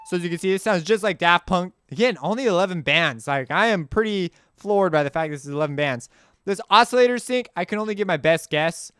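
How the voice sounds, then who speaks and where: A man giving a casual, off-the-cuff software walkthrough as a tutorial host, informal and slangy, rambling as he goes. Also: sits high, a little fast, clear, resonant tone, dry recording, faint music behind.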